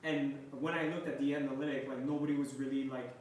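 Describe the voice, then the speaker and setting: A man's voice: medium pitch, steady tone, fast talker, darkish tone, far from the mic. A young man with a French Canadian accent, a native French speaker from Montreal, chatting casually on a travel livestream with many fillers. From Montreal, Quebec, Canada. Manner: rambling, many "like" fillers and restarts. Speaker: travel vlogger hosting a livestream. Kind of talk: casual live chat reading viewer questions. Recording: echoey room, off-mic, faint noise.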